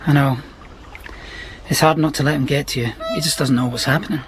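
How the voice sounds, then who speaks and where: An actor playing a gentle, earnest countryman in love in a naturalistic radio drama. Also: on the high side, fairly even intonation, fairly quick, noisy background, close to an everyday voice. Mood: concerned, resigned.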